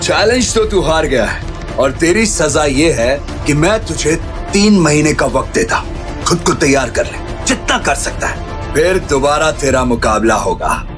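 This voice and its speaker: Villainous grand master: high-pitched for a man, drawn-out, menacing, arrogant audio drama tyrant. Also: sing-song, music in the background. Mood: gloating threat. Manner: gloating, threatening, drawn-out delivery. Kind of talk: Hindi audio drama acting.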